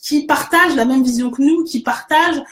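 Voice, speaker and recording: A woman's voice: sits high, brisk, a bit bright. A woman hosting a casual conversation, agreeing enthusiastically and explaining with many fillers. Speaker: host.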